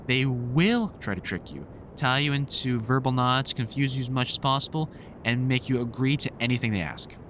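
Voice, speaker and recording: A man's voice: medium pitch, moderate pace, a bit bright, a little hiss or hum, natural voice. A man playing a protective older vampire hunter and mentor in an audio roleplay, talking in a reassuring, wry and casually sweary way. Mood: earnest warning. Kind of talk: one-sided audio roleplay, conversational comfort scene.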